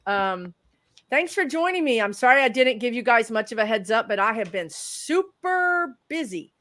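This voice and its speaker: A woman, a psychic medium chatting casually as a livestream guest, rambling in a loud voice. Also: a little high, very animated, moderate pace.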